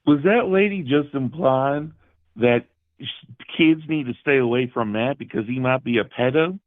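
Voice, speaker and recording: A man calling into a live show to argue, soft-voiced, sarcastic and talking over others on a thin call line. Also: mid-range voice, moderate pace, dry recording, narrow, tinny audio. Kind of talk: heated argument on a call-in show. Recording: thin, band-limited call audio.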